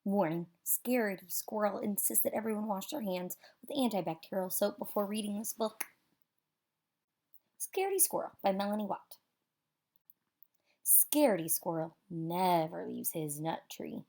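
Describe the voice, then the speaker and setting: A woman with a warm, rounded voice of average pitch reads a picture book for children. Her delivery is expressive and theatrical. She leans on key words and leaves dramatic pauses. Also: creaky.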